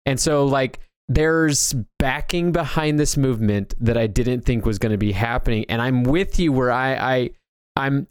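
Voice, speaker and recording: A man's voice: medium pitch, moderate pace, clean tone, no room sound. American man in dry, close podcast sound. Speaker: podcast co-host and producer. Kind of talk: casual chat.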